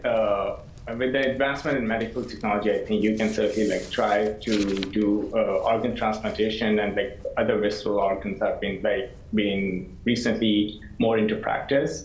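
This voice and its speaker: A middle-aged man from Pakistan, a transplant physician, explaining loosely over a video call. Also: fairly low pitch. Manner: loose, explanatory, frequent fillers. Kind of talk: answering interview questions. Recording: roomy video call sound.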